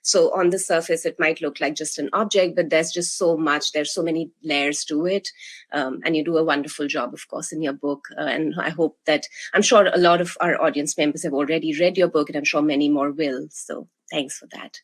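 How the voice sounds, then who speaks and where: A woman's voice: deep voice, steady tone, a little fast. A woman moderating a panel discussion, friendly and encouraging toward her guests, with many fillers.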